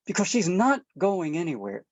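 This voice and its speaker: A woman's voice: lowish voice, big pitch swings, moderate pace, dry recording. A woman reading the part of a troubled father torn between his family members, pleading and apologetic, at a script table read. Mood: strained. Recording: dull, dry lo-fi sound.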